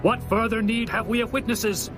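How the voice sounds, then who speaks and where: An actor playing a stern, indignant male high priest who speaks in demanding questions, in a dramatized scripture reading with music behind. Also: steady tone, normal speed.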